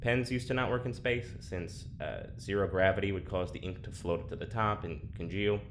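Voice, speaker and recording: An actor playing a wry, awkward space prisoner who rambles hesitantly into an audio diary with deadpan sarcasm. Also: male voice, sits low, fairly quick, a little hiss or hum, natural voice. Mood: rambling, deadpan. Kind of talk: naturalistic audio drama acting.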